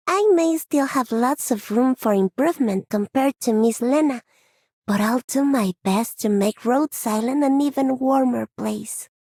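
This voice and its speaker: A woman's voice: a little high, a little slow, mellow, no echo, clean studio sound. A woman voicing a sweet, modest young girl who is eager to please, for a video game. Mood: cheerful, modest.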